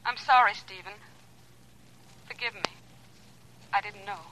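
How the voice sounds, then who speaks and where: An actress playing a hurt, jealous sweetheart pleading on the telephone in a 1940s radio drama, plaintive and questioning. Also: female voice, high-pitched, animated, moderate pace. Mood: wounded. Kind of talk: 1940s radio thriller acting. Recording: noisy old radio telephone scene.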